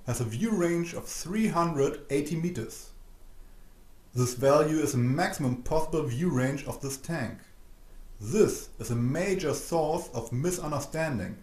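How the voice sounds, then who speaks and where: A man reading a scripted explanatory narration for an instructional video, measured and didactic, addressing the listener directly. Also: on the high side, mellow. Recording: roomy, echoing voice-over.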